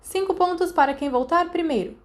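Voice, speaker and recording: A woman voicing a stern silver-haired witch who teaches flying, loudly barking brisk orders in an audiobook. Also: extremely high pitch, pitched above the performer's own voice. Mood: brisk commanding. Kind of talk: audiobook character voice.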